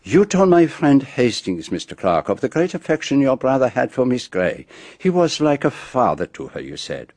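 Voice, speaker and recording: An actor playing a shrewd, self-assured male detective with a French-speaking accent, speaking in measured, pompous reveals in a radio drama. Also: mid-range voice, sing-song, a little mellow, no echo. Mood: accusing.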